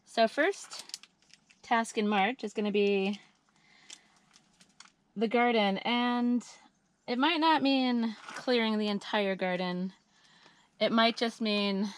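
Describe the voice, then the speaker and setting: A woman giving a chatty, upbeat monthly update on her homestead work, her voice a little scratchy and hoarse, with frequent ums and uhs. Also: mid-range voice, slow, long pauses. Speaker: homesteading vlogger. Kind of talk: casual monthly update vlog.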